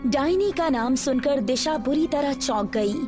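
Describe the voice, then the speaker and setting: A woman's voice: high voice, lively intonation, measured pace. A woman narrating a scripted horror story as the storyteller. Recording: music behind the voice.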